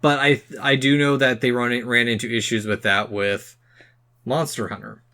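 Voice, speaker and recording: Halting man chatting casually. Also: mid-range voice, steady tone, bright, forceful tone. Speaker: podcast co-host. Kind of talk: casual chat about movies and games.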